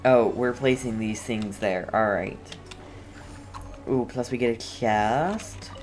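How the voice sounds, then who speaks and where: A woman streamer with a very low voice talks herself through a game. Her commentary is casual and rambling, at an unhurried pace, with faint noise behind her. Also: darkish tone.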